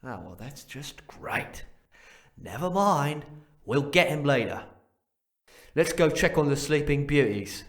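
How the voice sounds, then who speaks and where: A bossy male security goon, drawls slowly in an irritable, sarcastic way. His fairly high voice swings around a lot, in gruff audio drama style. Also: very animated, exaggerated voice. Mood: exasperated.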